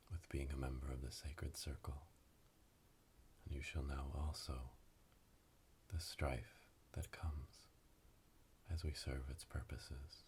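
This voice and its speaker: Casting: male performer as solemn coven witch for ASMR roleplay, very deep, hushed breathy whisper, flat ceremonial delivery. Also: slight background noise. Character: solemn witch elder leading a coven initiation. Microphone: close binaural microphone.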